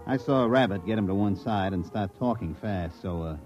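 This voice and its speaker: A man's voice: fairly low pitch, normal speed, highs cut off, soft background music, lightly acted. A man acting a folksy, wry baseball coach in a 1950s radio drama, with dry, colloquial delivery.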